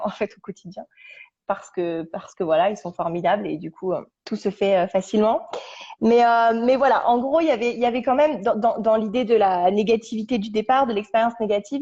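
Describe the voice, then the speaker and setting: A young woman, a podcast guest, casually telling her story at home on a couch, halting and restarting as she searches for her words. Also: on the high side, warm tone. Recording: dull lo-fi recording.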